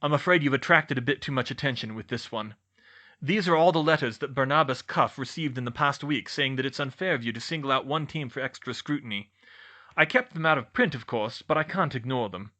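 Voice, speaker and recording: A man's voice: medium pitch, a little fast, sharp tone, natural voice. A man voicing a polite, genial but beleaguered middle-aged government boss with a wry manner, in an audiobook. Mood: wry, exasperated. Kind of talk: audiobook character voice.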